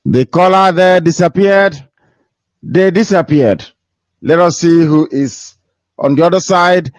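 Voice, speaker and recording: A man's voice: on the high side, unhurried, dry recording. A man hosting a call-in radio show, formal and repeating the station name between calls. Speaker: radio host taking calls. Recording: dry, dull lo-fi sound.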